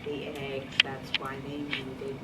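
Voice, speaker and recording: Distant, low-pitched woman teacher in noisy room. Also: completely flat delivery, slow. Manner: encouraging, instructive, praising the children. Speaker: teacher reading aloud to young children. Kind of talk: picture-book read-aloud with classroom talk. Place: classroom. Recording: distant mic, very noisy classroom.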